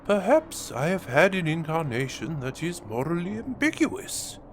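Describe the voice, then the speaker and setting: A man playing a villainous, grandiose alien warlord in an audio drama monologue, with theatrical pauses and menacing, hushed drops and swells. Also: on the high side, sing-song, background noise. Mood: brooding.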